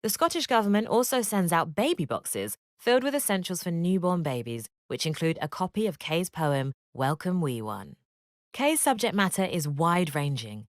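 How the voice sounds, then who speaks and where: A woman giving scripted educational narration in a measured, expressive read-aloud manner. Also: fairly low pitch, no room sound, clean studio sound.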